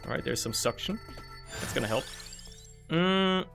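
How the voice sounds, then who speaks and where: A man's voice: on the high side, animated, moderate pace, vocal fry, over music. A young man with German as his first language, streaming a video game and rambling through casual, joking commentary that swears often, recorded at home on a desk microphone.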